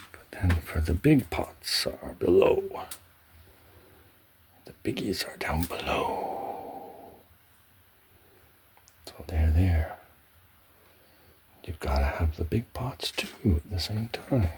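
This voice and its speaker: Very slow, gravelly man, hushed. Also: deep voice, a little hiss or hum. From Canada. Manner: hushed, halting, unhurried, often dropping to a whisper. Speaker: ASMR video maker giving a home tour. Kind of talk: soft-spoken ASMR tour narration. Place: indoors at home, in a pantry.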